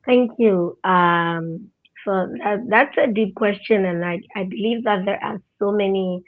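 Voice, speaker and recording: A woman's voice: mid-range voice, measured pace, narrow, tinny audio. A woman from Ghana joining a panel discussion, speaking earnestly and reflectively and repeating words as she thinks. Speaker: panel guest.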